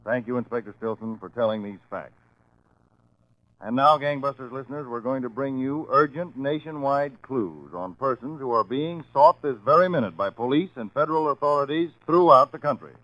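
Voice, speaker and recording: Male 1940s radio host, declamatory scripted read, mid pitch, dull old recording. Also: normal speed, a bit bright, dry recording.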